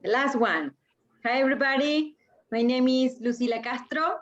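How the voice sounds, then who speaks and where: A woman from Argentina speaks English. Her voice sits a bit high, and the call audio is dull and lo-fi. She introduces herself plainly and warmly. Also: moderate pace. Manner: friendly, plain self-introduction. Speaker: workshop co-lead. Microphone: video call.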